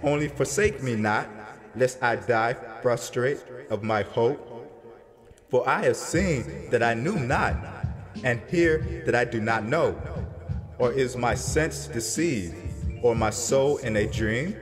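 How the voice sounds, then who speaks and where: A man's voice: mid-range voice, takes it slowly, close to an everyday voice. Grieving biblical prophet, male performer, fearful and solemn, dramatized scripture with a music bed. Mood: pleading. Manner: solemn, archaic phrasing, pleading. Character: grieving, fearful prophet recounting his troubled vision.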